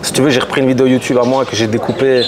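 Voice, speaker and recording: A man interviewing a guest in casual conversation, chatty and quick to agree. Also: mid-range voice, little pitch movement, a crowd in the background. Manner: chatty, constant backchannel agreement.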